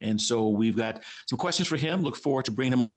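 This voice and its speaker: Male podcast co-host from LA, mid-pitched, friendly and conversational. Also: expressive, a little fast. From Los Angeles.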